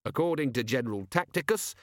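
A man performs a male commander of the watch for an audiobook. He is weary and sardonic, speaking in a dry, understated way at a middling pitch. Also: animated, fast, no room sound, close to an everyday voice. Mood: wry, resolute.

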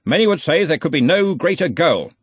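A man's voice: a little high, very animated, fairly quick, husky, no room sound, voice pushed up. A man voicing a brash, cheerful, domineering wizard arch-chancellor in a storybook, blustery and commanding. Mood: cheerfully forceful.

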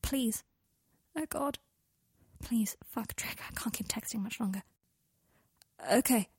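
A woman reads a lovesick young man in an audiobook story, remorseful and pleading. The voice is husky, in a close dry sound. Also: fairly high pitch, slow pace, a little mellow, no echo, full character voice. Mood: pleading, breathless.

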